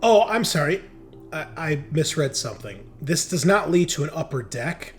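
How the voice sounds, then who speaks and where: A man narrating a tabletop roleplaying game as game master, describing scenes in a halting, improvised way. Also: on the high side, very animated, faint music behind.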